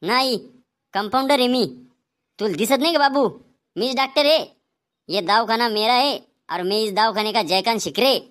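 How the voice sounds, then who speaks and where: A man's voice: very high-pitched, moderate pace, pauses often, fairly bright tone, a little hiss or hum. A man voicing a rude, bragging male quack doctor in a comedy cartoon, scolding in a Vidarbha Marathi accent. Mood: brusque, scolding. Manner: brusque, scolding, boastful.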